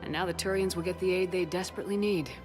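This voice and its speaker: Woman acting a low-toned, firm military commander. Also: fairly even intonation, rapid-fire, over music, natural voice. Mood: attentive, concerned. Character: determined, steady female military commander in space war. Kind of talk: video game voice acting.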